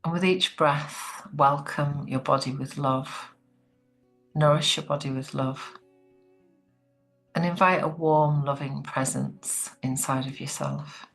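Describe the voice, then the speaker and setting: A woman leading a guided relaxation meditation in a soothing, gentle, unhurried manner. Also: low-pitched, steady tone, gravelly, faint music behind, low-quality recording.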